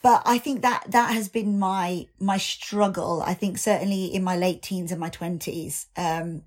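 A woman in her thirties, a singer and actor, speaking candidly and reflectively in a podcast interview, her voice slightly raspy, with ums and restarts. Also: medium pitch, fairly even intonation, unhurried. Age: 30s.

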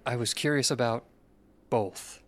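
A man playing an uncertain, deferential man in an audio drama, speaking in a hesitant, halting way as he seeks answers. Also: medium pitch, lightly acted. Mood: cautious, deferential. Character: uncertain, deferential man seeking answers about his father.

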